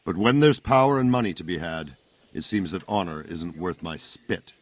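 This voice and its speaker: Low-voiced seasoned medieval soldier. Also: male voice, moderate pace. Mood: grave, instructive. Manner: explanatory, patient with a younger man. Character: seasoned, plain-spoken male soldier captain in medieval Bohemia. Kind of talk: video game cutscene acting.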